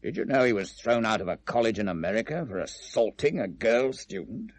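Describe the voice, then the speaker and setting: Husky, insinuating British shopkeeper. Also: male voice, lowish voice, lively intonation, a little fast. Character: insinuating, gossipy male shopkeeper informant. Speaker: actor. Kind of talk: 1970s British radio drama acting.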